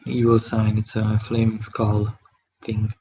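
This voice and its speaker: A man from Hungary, speaking English with a Hungarian accent, talks through a live drawing tutorial, thinking aloud in a halting way as he works. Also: fairly low pitch, monotone, warm tone, highs cut off, a little hiss or hum. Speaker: digital artist streaming his work.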